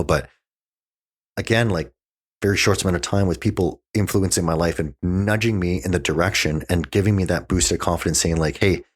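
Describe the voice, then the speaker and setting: A man's voice: extremely low pitch, husky. A man in his forties from Canada, a podcast guest talking in a casual, emphatic way, full of restarts and "you know". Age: 40s. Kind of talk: casual conversation. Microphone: desk mic he keeps knocking.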